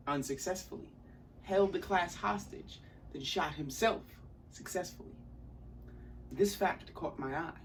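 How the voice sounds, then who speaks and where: Intense, articulate young man played by a male actor, brooding theatrical monologue, dramatic pauses, off-mic sound. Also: a little high. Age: young adult. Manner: emphatic, with deliberate dramatic pauses. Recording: distant mic, audible room noise.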